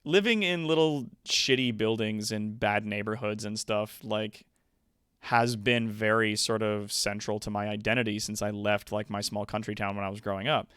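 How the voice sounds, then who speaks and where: Give me a casual, sweary man chatting on a livestream, crisp voice, very dry room. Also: lowish voice, normal speed, no room sound. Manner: sweary, animated asides. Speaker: livestream host. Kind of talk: casual chat with stream viewers. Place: indoor home streaming room.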